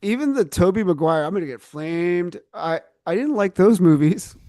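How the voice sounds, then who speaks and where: A man's voice: high-pitched, lively intonation, normal speed, dark tone, no room sound, clean studio sound. A man co-hosting a casual toy podcast, blunt and outspoken, swearing casually. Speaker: podcast co-host. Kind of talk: casual chat.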